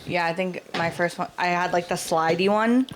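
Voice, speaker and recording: A woman in her thirties, a comedian guest on a podcast, teasing with quick comebacks in casual banter. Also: lowish voice, slight background noise. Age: 30s.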